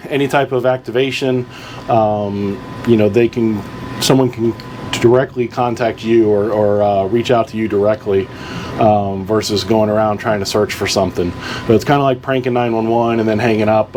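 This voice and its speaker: A man's voice: mid-range voice, darkish tone. Man explaining boating tips over background noise. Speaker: charter boat captain presenting boating tips. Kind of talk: informal instructional video talk. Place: outdoors in the tower of a sportfishing boat.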